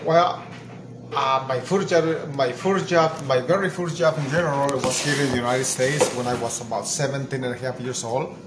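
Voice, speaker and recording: A man in his sixties from Mexico, a grandfather answering questions slowly and repeating himself in a casual family interview. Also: medium pitch, steady tone. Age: 60s. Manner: slow, halting, repeats himself. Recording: noisy home recording.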